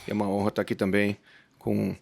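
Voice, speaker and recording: A man's voice: fairly low pitch, monotone, fast talker, vocal fry, darkish tone. A man from Rio, speaking casually as a guest technology expert. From Rio de Janeiro, Brazil. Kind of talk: casual self-introduction.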